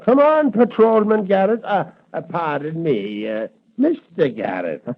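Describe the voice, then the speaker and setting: Bumbling genial patrolman, high animated voice, halting delivery, comic sidekick in muffled forties radio serial. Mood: jocular, halting. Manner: halting, jocular. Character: bumbling, good-natured red-faced beat cop. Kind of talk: 1940s radio adventure acting. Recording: muffled, band-limited vintage radio recording.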